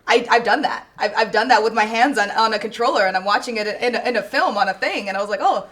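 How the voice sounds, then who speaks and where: A woman's voice: fairly high pitch, fast talker, a bit bright. A woman chatting as a panelist in a casual film review, conversational and often acting out her own reactions.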